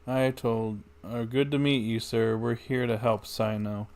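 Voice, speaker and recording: A man's voice: mid-range voice, flat delivery, a little slow, a little mellow. A man giving casual livestream commentary on a game, musing aloud in an offhand way.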